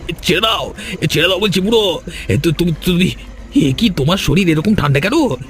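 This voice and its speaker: Young working-class lover for cartoon melodrama, earnest, excitable, slightly high voice, music underneath. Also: male voice, moderate pace. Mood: panicked anguish. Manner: earnest, excitable, stammers when alarmed. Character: humble, protective young carpenter eloping with his sweetheart. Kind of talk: Bengali cartoon melodrama acting.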